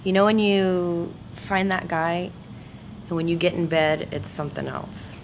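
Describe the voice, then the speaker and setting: Actress as a blunt divorcée, gravelly and lowish, noisy indie film sound. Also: female voice, fairly even intonation, unhurried. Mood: bitter. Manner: blunt, bitter. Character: bitter twice-divorced mother of two. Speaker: support group member.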